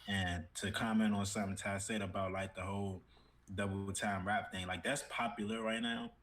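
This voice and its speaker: A man in a casual podcast discussion, explaining his view at length in a measured, qualifying way as a co-host. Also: lowish voice, level tone, fairly bright tone.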